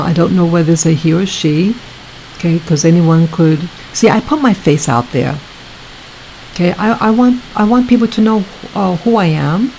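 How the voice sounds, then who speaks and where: A woman hosting her own video channel, talking casually to her viewers in a soft, soothing voice, halting often and repeating herself. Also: on the low side, vocal fry, slight background noise, low-quality recording. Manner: slow, repetitive, halting with many restarts. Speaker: YouTube channel host.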